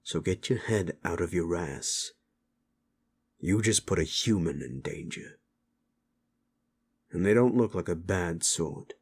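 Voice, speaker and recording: A man plays a weary, stern keeper of an underworld club in an audio drama. The voice sits low and moves slowly. He scolds with measured authority. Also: darkish tone, husky, full character voice. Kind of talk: dramatic audio roleplay acting.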